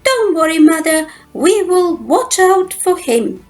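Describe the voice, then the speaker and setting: A woman's voice: very high-pitched, sing-song, slow pace, soft background music, voice pushed up, heavily acted. A woman voicing young, polite and plucky little storybook wolves in an emphatic, childlike way. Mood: polite, eager.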